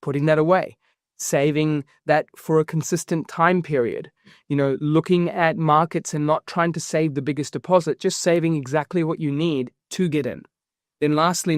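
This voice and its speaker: A man's voice: on the high side, no room sound, studio quality. A man, talking energetically as a guest in a casual interview. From New Zealand. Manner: energetic, fast-flowing explanations with fillers. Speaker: guest, property investment founder.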